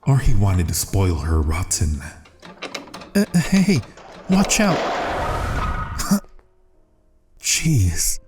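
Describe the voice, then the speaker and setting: A man acts out a secret assassin undercover as a courteous bodyguard for an audio roleplay. His voice is soft, dark and mellow, sitting fairly low. He speaks with dry, restrained exasperation beneath his good manners. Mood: cool, calculating, then alarmed, scolding. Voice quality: soft, gentle voice. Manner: restrained, dry, inner monologue and courteous address. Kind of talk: ASMR roleplay audio acting.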